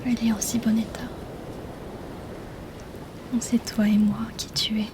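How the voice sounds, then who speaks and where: A woman's voice: on the high side, level tone, noisy background, natural voice. A woman voicing a mysterious, shrewd mermaid healer in a whispered, intimate ASMR roleplay. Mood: intrigued, probing. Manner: hushed, slow, intimate, teasingly questioning.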